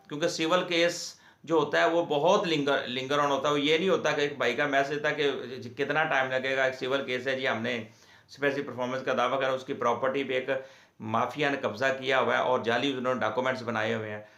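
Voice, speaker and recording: A man with a Pakistani accent gives an informal legal explainer in a halting, repetitive, explanatory way, as the host of an advice channel. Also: medium pitch, vocal fry, a bit bright. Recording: echoey room.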